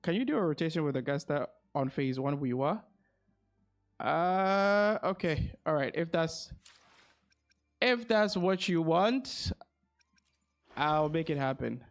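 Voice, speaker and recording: Man, higher pitch, casual livestream chat, gamer, thinking aloud, repetitive phrasing. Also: animated, takes it slowly, long pauses. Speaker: game livestreamer.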